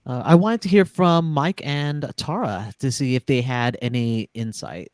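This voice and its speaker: A man's voice: mid-range voice, measured pace, a little mellow, no echo. A man hosting a panel discussion from a home studio, putting questions to the guests. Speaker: host, panel moderator. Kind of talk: panel moderation.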